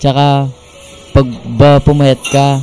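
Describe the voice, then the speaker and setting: An actor plays a tender young Filipino suitor in a radio drama. His mid-pitched voice is dark and soft, gently coaxing and teasing over faint music. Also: completely flat delivery, slow pace, natural voice.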